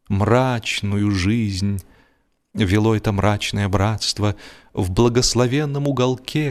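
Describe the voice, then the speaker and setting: A man reading a humorous literary story as an audiobook narrator, expressive and measured, with deliberate pauses. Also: lowish voice.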